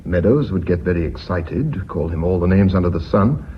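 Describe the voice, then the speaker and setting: A deferential British bookkeeper in a radio play. He is low-pitched, formal and methodical, on a muffled old recording with clear background noise. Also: animated, moderate pace. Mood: calm, explanatory. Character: measured, deferential company secretary and bookkeeper revealing his scheme. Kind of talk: British radio drama acting.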